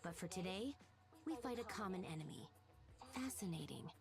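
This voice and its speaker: A woman's voice: lowish voice, lively intonation, normal speed. A woman playing a composed, analytical superhero in a video game, speaking in a cool, probing manner. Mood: composed, wary. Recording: music behind the game voice.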